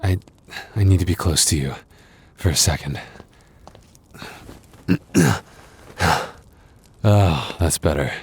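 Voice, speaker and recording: A man playing an exhausted, wounded werewolf boyfriend in an ASMR roleplay, tender and reassuring, with halting, sighing, intimate delivery. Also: sits low, lively intonation, a little mellow, husky, full character voice. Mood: pained, apologetic.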